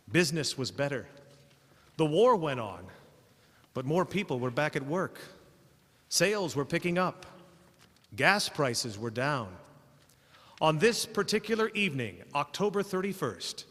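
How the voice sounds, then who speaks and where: A man playing a grave, measured narrator in an old-time radio drama. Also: on the high side, a bit bright. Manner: solemn, measured. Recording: reverberant theater hall.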